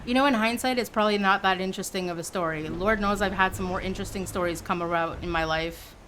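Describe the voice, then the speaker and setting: A woman's voice: medium pitch, quick pace, a little hiss or hum. A woman in her forties, from Canada, chatting casually and playfully with viewers on a livestream. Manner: chatty and expressive, teasing and playful.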